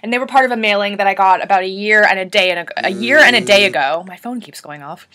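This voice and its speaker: Woman, 30s, bright tone, sore throat from a cold, chatty, bubbly. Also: mid-range voice, fast talker. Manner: chatty, bubbly, gushing over products. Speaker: beauty blogger. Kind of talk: casual product haul video.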